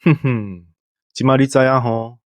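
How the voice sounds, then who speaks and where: A Taiwanese man chats as a co-host, explaining in an informal way. His pitch is average for a man and the sound is very dry. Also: fairly even intonation, unhurried, dry recording. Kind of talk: casual explanatory podcast chat.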